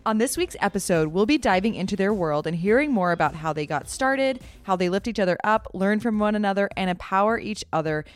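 A woman's voice: medium pitch, lively intonation, fairly quick, few pauses, faint music behind. A woman hosting an interview podcast, reading an upbeat intro and then asking short questions. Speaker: podcast host.

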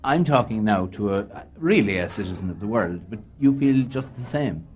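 A man conducting a radio interview, asking gently probing questions. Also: fairly low pitch, lively intonation, normal speed.

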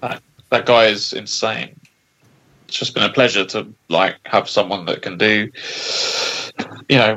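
A man's voice: on the low side, bright, forceful tone. A man chatting casually as a band member in an interview, over a lo-fi connection. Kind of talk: casual chat.

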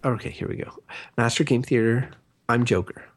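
A man's voice: medium pitch, fairly even intonation, moderate pace. A man co-hosting a podcast in casual banter, chatty and rambling, with many fillers. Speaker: podcast co-host.

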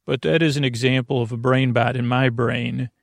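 Man, creaky gravelly voice, mid pitch, close dry sound, meandering bedtime podcast host, halting, whimsical, reassuring. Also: fairly even intonation, no room sound. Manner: meandering, halting, repeats and trails off mid-sentence. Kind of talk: rambling bedtime sleep podcast monologue.

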